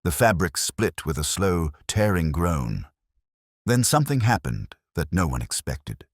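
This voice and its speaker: A man narrating a scripted mystery story in a measured, formal way. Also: sits low, very animated, dry recording, clean studio sound. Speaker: audiobook narrator.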